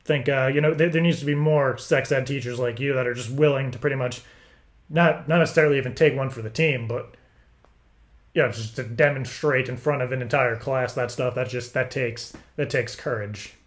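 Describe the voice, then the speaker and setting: A man talking casually on a phone call as if interviewing someone, in a rambling, stammering, halting way. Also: medium pitch. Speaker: prank caller.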